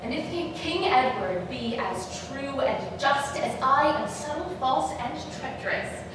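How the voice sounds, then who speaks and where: A woman playing a scheming, bitter royal villain in a theatrical Shakespearean staged reading, with dramatic, weighted pauses. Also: high voice, animated. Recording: very echoey noisy hall, distant mic.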